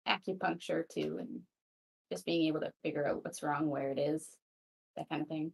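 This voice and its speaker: A woman's voice: sits low, fairly even intonation, normal speed, creaky, slightly rough, rough recording. A woman playing a tabletop roleplay, casually stating her character's actions in short, understated sentences.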